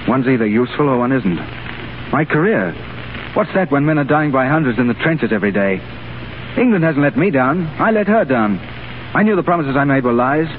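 An actor playing an idealistic, stubborn and wry English diplomat in a 1930s radio drama. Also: male voice, medium pitch, natural voice. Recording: noisy, narrow-band 1930s radio broadcast.

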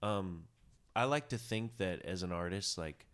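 Deep-voiced male podcast host, relaxed and musing, on a close studio mic. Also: unhurried, smooth. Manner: laid-back, musing, trails off into low mutters. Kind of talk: casual podcast conversation.

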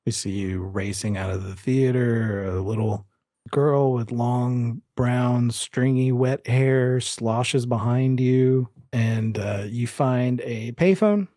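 A man narrating a tabletop horror audio drama as game master, in measured, deliberate phrases. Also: mid-range voice, dark tone, no room sound, studio quality. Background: music bed.

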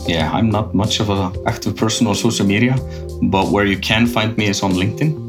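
A man's voice: lowish voice, brisk, music in the background. A man being interviewed as a podcast guest, thinking aloud and often restarting his sentences. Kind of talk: casual business interview.

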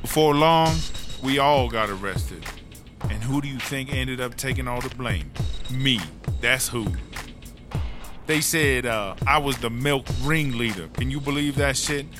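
A man's voice: medium pitch, measured pace, lightly acted. A man voicing a swaggering, foul-mouthed hustler rapper who tells an aggrieved story in streetwise slang for a comedy audio drama. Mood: aggrieved, boastful. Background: music.

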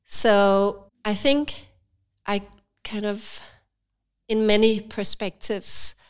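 A woman with a Danish accent and a slight cold, talking thoughtfully in an interview and often pausing with fillers. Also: medium pitch, level tone, clear, resonant tone. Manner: thoughtful, hesitant with frequent fillers. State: has a cold, slightly stuffy. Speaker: cafe and yoga studio owner, guest. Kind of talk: casual interview conversation.

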